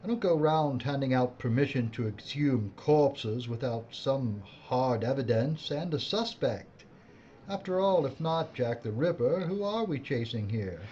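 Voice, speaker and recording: A man acting as a skeptical British chief inspector in a period radio mystery. He is stern and formal, with clipped reproofs and wide swings in pitch. The recording is a little dull, with faint noise behind. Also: animated, measured pace, a little mellow, close to an everyday voice.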